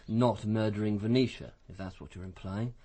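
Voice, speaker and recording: An actor as a defensive English politician in a radio crime drama. The man's voice is lowish and husky, and he answers curtly, keeping his guard up. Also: fairly even intonation, moderate pace, warm tone, close to an everyday voice. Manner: curt, defensive. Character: smooth-mannered, defensive English male politician, curt and evasive.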